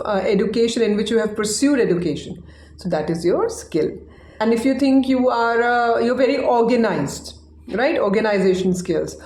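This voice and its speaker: Casual, chatty woman English teacher, first language Hindi, fairly high voice, recorded in an echoey room. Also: a little mellow. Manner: chatty, checks in with "right?". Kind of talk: casual online lesson.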